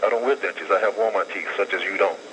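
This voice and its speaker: A man's voice: low-pitched, fast. A man from calling a radio show, argumentative and talking over the host. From Brooklyn. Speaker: caller. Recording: noisy radio phone line.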